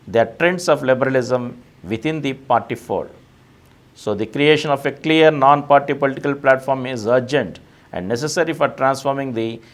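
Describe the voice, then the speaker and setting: A man with an Indian accent reading a scripted political essay as narrator, formal and emphatic at the starts of sentences. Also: medium pitch. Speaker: reader, narrator.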